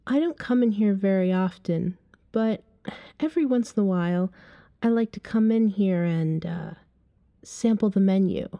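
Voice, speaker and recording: A woman playing a flirty, self-assured female vampire in an ASMR roleplay, teasing a stranger at a bar in a soft-spoken, breathy way. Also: medium pitch, moderate pace, no echo, lo-fi sound, natural voice. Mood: playful, inviting. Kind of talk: ASMR roleplay, acted one-sided dialogue.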